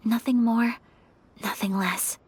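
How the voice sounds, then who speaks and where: A woman playing a refined, earnest young noblewoman in an anime fantasy game, speaking in a polite, formal, soft-spoken manner. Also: on the high side, fairly even intonation, slow pace, a little hiss or hum. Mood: reflective, tender. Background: background music.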